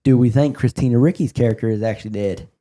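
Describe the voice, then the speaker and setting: Mellow-voiced man hosting casually. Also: mid-range voice, fast talker. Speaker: podcast host. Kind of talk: casual chat.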